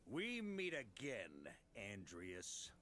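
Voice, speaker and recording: A man's voice: medium pitch, huge pitch swings, drawn-out words, very noisy, exaggerated voice. A man voicing a confident, hearty, seasoned knight commander in a video game. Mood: grave.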